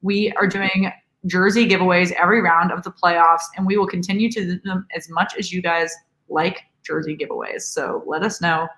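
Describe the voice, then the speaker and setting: A woman's voice: lowish voice, steady tone, normal speed, fairly bright tone. A woman in her twenties from Boston, co-hosting a live hockey watch-along in a casual, chatty way. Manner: chatty, laughing, promotional asides. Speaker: co-host. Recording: roomy echo.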